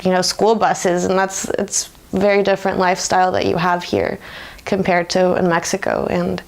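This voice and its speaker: A woman's voice: on the low side, flat delivery, moderate pace, gravelly. A woman in her twenties with an American accent, speaking informally and reflectively in a conversational interview. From United States. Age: 20s. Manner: informal, often laughs while talking.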